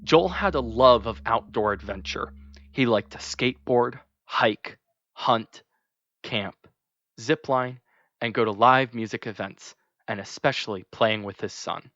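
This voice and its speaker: Man reading a gentle memorial tribute. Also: medium pitch, measured pace, no echo. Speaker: narrator. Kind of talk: scripted memorial narration. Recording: dull, lo-fi dry recording.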